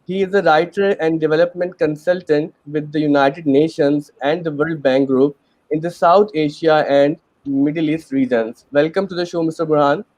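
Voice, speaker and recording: A man's voice: on the high side, fairly even intonation, moderate pace, darkish tone, no room sound, muffled recording. A man with a Pakistani accent hosting a panel webinar in a chatty, earnest way, with many fillers. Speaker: webinar host.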